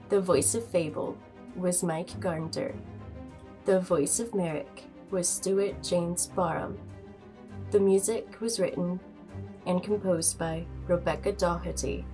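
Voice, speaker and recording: A woman announcer reading scripted credits. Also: lowish voice. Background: loud music.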